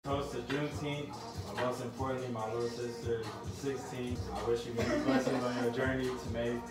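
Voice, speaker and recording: A man's voice: medium pitch, level tone, brisk. A man making a celebratory toast in a large kitchen, recorded from across the room. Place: large kitchen at home party. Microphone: far camera. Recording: very echoey, distant, loud music behind.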